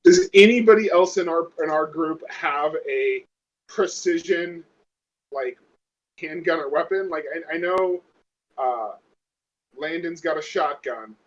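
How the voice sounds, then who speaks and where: A man's voice: fairly high pitch, expressive, measured pace, highs cut off. A man playing a tabletop roleplaying game, chatting casually and thinking aloud in a hesitant way.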